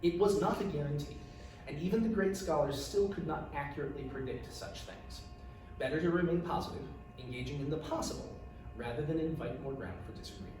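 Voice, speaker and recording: A man reading his fantasy novel aloud as its author, in an expressive storytelling style. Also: a little high, a little fast. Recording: echoey room, distant mic, faint noise.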